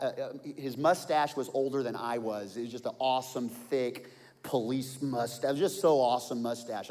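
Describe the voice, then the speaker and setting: Preaching man in a reverberant hall. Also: medium pitch. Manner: storytelling, swings from quiet to loud. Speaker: pastor. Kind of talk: sermon.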